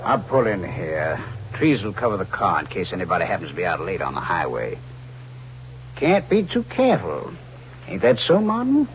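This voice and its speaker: An actor playing a sly, needling, falsely friendly crook who schemes murder, in 1940s radio style. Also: male voice, deep voice, huge pitch swings, moderate pace. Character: sly, fat scheming crook plotting his uncle's murder. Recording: dull, hissy old radio recording.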